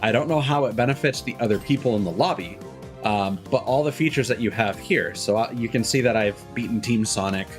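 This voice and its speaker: Low-pitched man rambling casually over game music. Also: fairly quick. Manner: rambling, self-interrupting, frequent stops and restarts. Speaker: live streamer. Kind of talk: casual video game stream commentary.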